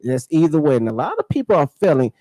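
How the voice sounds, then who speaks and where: A man's voice: on the high side, very animated, fast talker, warm tone, no echo. A man hosting a radio talk show, speaking reflectively and repeating phrases for emphasis. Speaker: radio host.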